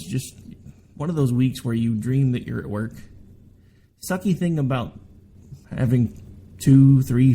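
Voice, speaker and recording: A man's voice: mid-range voice, dark tone, a little hiss or hum. A man in his forties hosting a political talk radio show, tired from overwork, talking in a rambling, candid way with asides and self-corrections. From Oklahoma, United States. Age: 40s.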